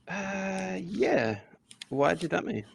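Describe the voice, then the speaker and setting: A man's voice: high-pitched, sing-song, slow pace, gravelly, a little hiss or hum. A man reading the part of an awkward young man in an amateur podcast table read, earnest and rambling, on a dull lo-fi mic.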